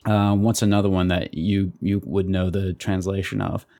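A man co-hosting a podcast, explaining things casually and haltingly, repeating words. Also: sits low, flat delivery, gravelly, mellow, no echo, clean studio sound. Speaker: podcast co-host. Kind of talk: casual conversation.

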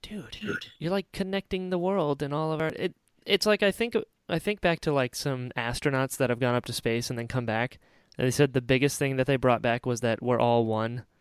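A man's voice: mid-range voice, fairly even intonation, moderate pace, clear, resonant tone, no room sound. A man hosting a podcast in an informal, enthusiastic and affirming chatty way. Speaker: podcast host. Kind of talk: casual chat.

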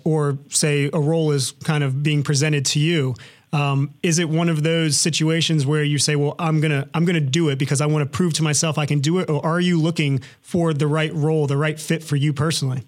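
A man leads an interview with friendly, measured questions. His voice sits a little above the usual male range. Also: fairly high pitch, fairly even intonation, a little mellow.

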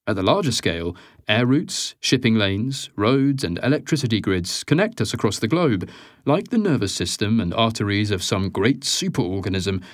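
A man reading scripted nonfiction as an audiobook narrator, with measured, articulate delivery. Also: on the low side, no echo, clean studio sound.

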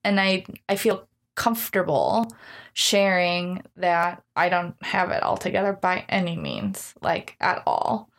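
A woman's voice: fairly low pitch, lively intonation, measured pace, fairly bright tone. A woman in her thirties talking casually in an interview, candid, reflective and self-deprecating. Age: 30s. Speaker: gym owner being interviewed.

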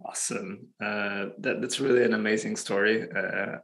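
A man's voice: lowish voice, steady tone, a bit bright. A man hosting a business podcast, asking questions in a hesitant way full of fillers. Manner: hesitant, halting with many fillers. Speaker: podcast host. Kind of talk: interview hosting.

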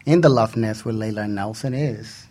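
A man's voice: on the low side, normal speed, a little mellow. A man co-hosting a radio talk show in a conversational, bantering style.

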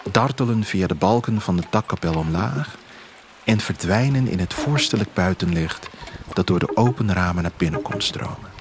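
A man narrating a literary first-person audio drama as its author, in an expressive, poetic storytelling manner. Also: low-pitched, normal speed, noisy background.

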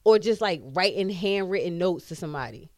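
A woman's voice: on the low side, animated, a little fast, crowd noise. An American woman in her thirties chatting casually as a podcast co-host, emphatic, slangy and given to swearing. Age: 30s. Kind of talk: casual opinionated chat.

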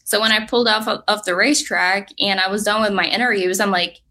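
A young woman from Norway with a Norwegian accent, telling her racing story as an interview guest, lively and full of "like". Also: mid-range voice, fast talker. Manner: lively, fillers of "like", laughs easily. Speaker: drag racing driver guest.

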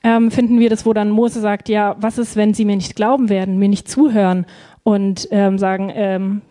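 A woman's voice: medium pitch, flat delivery, moderate pace, warm tone. A woman interpreting a sermon live, phrase by phrase, hesitating and feeling for words with frequent ums.

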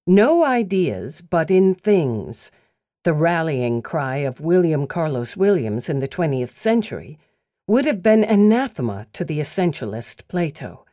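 A woman reads literary writing as an audiobook narrator, slowly and deliberately. Her voice is mellow and moves expressively up and down in pitch, with each phrase precisely placed. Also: big pitch swings, dry recording, clean studio sound. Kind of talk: scripted literary essay and poetry narration.

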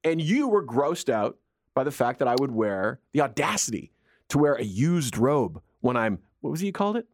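A man's voice: medium pitch, big pitch swings, normal speed, a little hiss or hum. A man from Canada co-hosting a radio show, telling stories in playful casual banter. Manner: playful, storytelling. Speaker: radio co-host.

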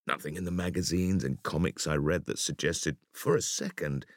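A man reading a conversational essay for an audiobook in a deliberate, expressive storytelling manner. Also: a bass voice, gravelly, dry recording, studio quality. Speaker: audiobook reader.

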